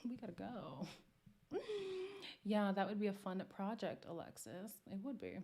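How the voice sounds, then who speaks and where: A woman from Montreal talks openly on her livestream, her pitch sitting in an ordinary middle range. She chats casually with viewers, answering their comments and breaking into frequent laughter. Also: lively intonation, moderate pace, creaky, mellow. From Montreal, Canada. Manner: candid, conversational, laughs often. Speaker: livestream host.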